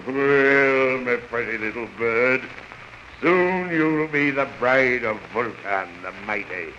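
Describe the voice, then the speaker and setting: A man's voice: medium pitch, expressive, slow pace, sharp tone, muffled recording. An actor playing a bullying, boastful, lecherous alien king in a theatrical 1930s radio serial, on a hissy old recording. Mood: leering. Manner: bellowing, blustering, theatrical.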